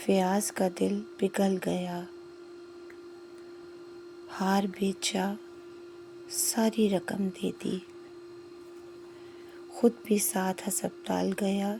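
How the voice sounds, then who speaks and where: A woman narrating a scripted moral story in short, measured phrases with pauses. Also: medium pitch, gravelly, background noise.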